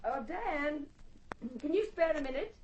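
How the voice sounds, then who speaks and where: An actress playing an educated, poised, gently probing lady with genteel, precise phrasing in an old radio drama. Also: high-pitched, lively intonation, unhurried, soft background music. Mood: probing, sincere. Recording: muffled old radio transcription recording.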